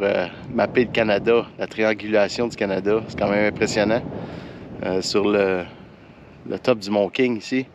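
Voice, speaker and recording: A man with a Quebec French accent talks casually to his camera out on a trail. His voice sits somewhat low, and his delivery is relaxed, informal and a little hesitant. Light outdoor ambient noise sits behind him. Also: muffled recording. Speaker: outdoor hiking vlogger. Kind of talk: casual vlog chat to camera. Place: outdoors on a forest hiking trail and mountain summit.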